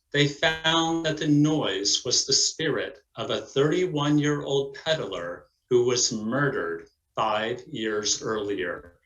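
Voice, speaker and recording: A man's voice: mid-range voice, fairly bright tone. A man reading scripted narration as the narrator of a stage play. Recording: roomy echo.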